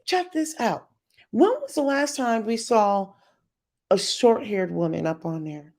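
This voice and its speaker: A middle-aged woman giving an opinionated commentary as a YouTuber, emphatic and sassy in her delivery, with a voice she calls deep. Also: measured pace, a little mellow. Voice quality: deep voice by her own account. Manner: sassy, emphatic, mocks others in put-on voices.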